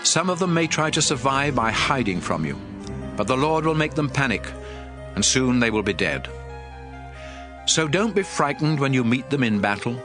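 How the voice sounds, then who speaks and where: A stern, fervent prophet played by a man proclaims scripture to his people. His mid-pitched delivery rises and falls dramatically, solemn and emphatic, over a clear bed of music. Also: lively intonation, normal speed, lightly acted. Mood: urgent, assuring. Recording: music under the voice.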